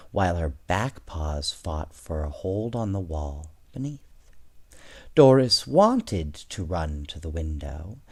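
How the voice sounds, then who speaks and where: A man reading children's storybooks aloud in an expressive, measured way, with casual asides to his young listeners. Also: low-pitched. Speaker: bedtime story reader, host.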